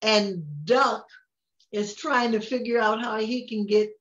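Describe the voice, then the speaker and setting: Slow gentle older woman, dull recording. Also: fairly high pitch, fairly even intonation. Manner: slow, gentle, laughs often. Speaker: storytime reader. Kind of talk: casual chat about a picture book. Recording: dull lo-fi sound.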